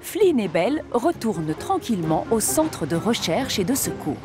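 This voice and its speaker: A woman's voice: medium pitch, big pitch swings, normal speed, music in the background. A woman reading scripted narration for a children's cartoon story as its narrator.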